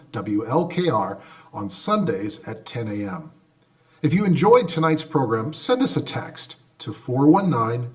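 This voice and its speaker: Male pastor, American, mid-pitched, very lively intonation, scripted radio invitation.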